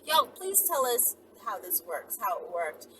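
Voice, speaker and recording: A woman in her thirties giving casual, emphatic instructions to camera, often checking in with a quick "okay?" or "right?". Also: mid-range voice, wildly swooping pitch. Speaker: vlogger giving advice. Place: inside a moving car. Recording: loud car and road noise, distant mic.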